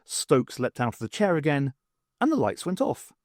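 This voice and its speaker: A man reading an audiobook story as scripted narration, in an expressive, dramatised manner. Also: fairly high pitch, moderate pace, dry recording, studio quality. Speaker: audiobook reader. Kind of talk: dramatised reading with voices for characters.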